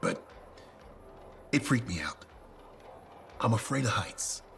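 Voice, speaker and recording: An actor playing a showy, self-deprecating merchant, chatty and theatrical, in video game dialogue. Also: male voice, on the low side, wildly swooping pitch, slow pace, vocal fry, fairly bright tone, slight background noise, heavily acted.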